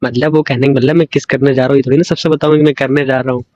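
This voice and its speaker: A young man with a mid-pitched voice talks casually and intimately on the phone. His manner is coaxing and teasing. The call recording sounds dull and lo-fi. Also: flat delivery, very fast, a little mellow, no room sound.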